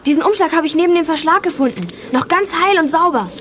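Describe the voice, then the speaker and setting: A woman's voice: very high voice, quick pace, a little hiss or hum. A female performer playing a spirited, chatty girl detective in a children's radio play. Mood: excited. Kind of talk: 1980s German children's radio play acting.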